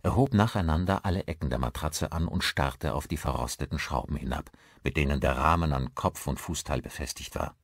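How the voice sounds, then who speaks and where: A man's voice: extremely low pitch, brisk, few pauses, no room sound, studio quality. A man narrating a horror story as an audiobook, in a suspenseful, theatrical, scripted manner.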